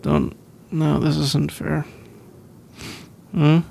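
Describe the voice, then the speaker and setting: Man acting as shy college boyfriend, British accent, slightly higher-pitched, fry-laden voice, sleepy, hesitant rambling, ASMR roleplay. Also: completely flat delivery, heavily acted. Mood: flustered, amused. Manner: hesitant, halting, rambling with soft laughs. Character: sleepy, shy, easygoing young male college student boyfriend.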